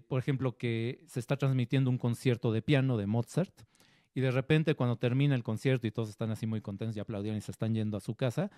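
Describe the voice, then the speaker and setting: A man's voice: medium pitch, dark tone, no room sound, studio quality. A Mexican man, a film critic and editor, chatting about movies with an enthusiastic, storytelling manner and many fillers. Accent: Mexican Spanish. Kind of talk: casual film discussion.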